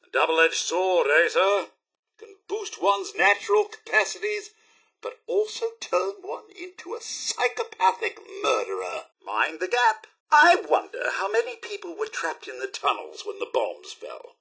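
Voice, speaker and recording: A man's voice: a little high, very animated, unhurried, pushed and bright, slightly rough, full character voice. A man playing a polite, fussy robot butler in a video game, with a British accent and genteel, theatrical, wry courtesy. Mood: chipper, courteous. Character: polite, fussy male robot butler, chipper yet wistful. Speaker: robot companion. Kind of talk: video game companion remarks.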